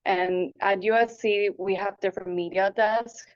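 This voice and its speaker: A woman's voice: medium pitch, fairly even intonation, moderate pace. A woman in her twenties from Taipei and Hong Kong, earnestly answering interview questions in a conversational way. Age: 20s. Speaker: interviewee, applicant. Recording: dull, lo-fi audio.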